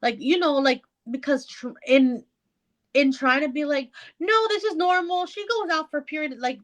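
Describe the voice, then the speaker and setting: A high-pitched woman chats casually as a podcast co-host. Her talk is loose and full of filler, and she often acts out what someone might have said. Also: normal speed. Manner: acts out imagined dialogue, filler-heavy with many likes. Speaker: book club podcast co-host. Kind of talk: casual chat, book discussion.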